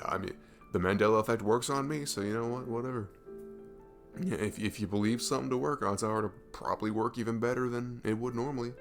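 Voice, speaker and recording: A lowish male voice , performed by an actor plays an affectionate young best friend in a romantic roleplay audio. He rambles in a casual, goofy, gushing way, as if chatting one to one. Faint music plays behind him. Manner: rambling, gushing, informal, chuckling between phrases. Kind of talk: romantic ASMR roleplay audio, casual improvised-sounding chat.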